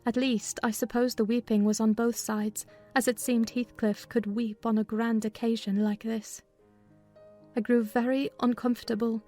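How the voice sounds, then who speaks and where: A woman narrating a dramatized literary audiobook in a measured, expressive storytelling manner. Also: fairly high pitch, soft background music.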